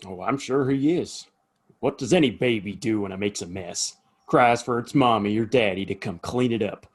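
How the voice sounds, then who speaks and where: A man's voice: fairly low pitch, normal speed, close to an everyday voice. A man acting a plain-spoken, weary saloon keeper in a western audio play, folksy and straight-talking. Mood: fed up.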